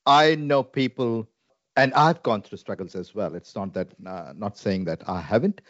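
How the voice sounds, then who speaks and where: A man's voice: fairly low pitch, normal speed, no room sound, dull audio. Reflective Indian-accented man, dry sound. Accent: Indian English. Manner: earnest, reflective, frequent fillers. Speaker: Salvation Army minister. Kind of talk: conversational interview.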